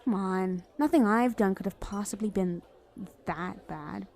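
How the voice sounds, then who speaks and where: A woman's voice: medium pitch, measured pace, creaky, a little mellow. A woman playing a flirty, self-justifying villain in an ASMR roleplay, talking in a casual, playful, sardonic way. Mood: flirty, persuasive. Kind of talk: acted ASMR roleplay monologue.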